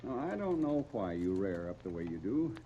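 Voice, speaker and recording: A dull, hissy old radio recording of a flustered, kindly uncle in a 1930s serial. His mellow voice swings up and down as he stammers in a folksy, hesitant way. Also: deep voice, sing-song, moderate pace. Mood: genial.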